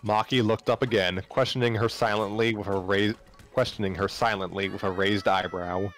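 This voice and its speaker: A man's voice: lowish voice, fairly even intonation, normal speed, fairly bright tone. A man narrating a story live on a stream, often stumbling and repeating phrases as he reads aloud. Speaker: narrator, livestream reader. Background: background music.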